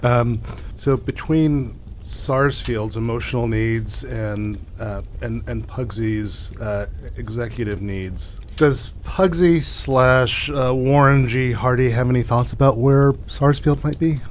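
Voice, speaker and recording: Over clear background noise comes a man acting as a gentle small-town doctor in an improvised radio drama. He picks his words with care, stalling and hedging as he soothes in a mid-pitched voice. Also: close to an everyday voice. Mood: tactful, probing. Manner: halting, tactful, placating, hedging.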